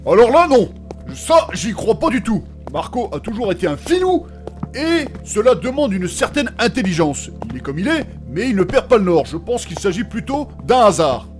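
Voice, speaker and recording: Man playing a high, animated, skeptical adventurer. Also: moderate pace, soft background music. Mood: argumentative. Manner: blunt, contradicting, colloquial. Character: clever, skeptical, argumentative male adventurer. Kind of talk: scripted comic fantasy audio drama acting.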